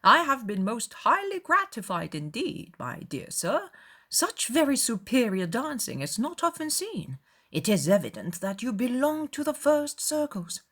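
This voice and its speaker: A woman voicing an effusive, courtly older gentleman who flatters with pompous, ornate politeness in an audiobook dramatization. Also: fairly high pitch, a little slow, pitched above the performer's own voice, heavily acted. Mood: effusive, flattering.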